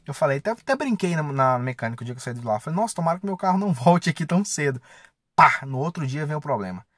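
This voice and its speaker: A man's voice: fairly high pitch, expressive, fast talker, a bit bright, dry recording. A man, chatting casually and slangily as a car vlogger. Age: 20s. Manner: informal, slangy, mildly profane. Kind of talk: casual vlog chat. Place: inside a parked car. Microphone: camera or phone at arm's length.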